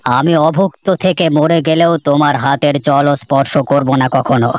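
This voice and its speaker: A man's voice: fairly high pitch, steady tone, normal speed, natural voice. A man voicing a bitter cartoon father who blames his wife in a harsh, scornful, accusing way over background music. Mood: scornful anger. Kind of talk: cartoon melodrama dialogue.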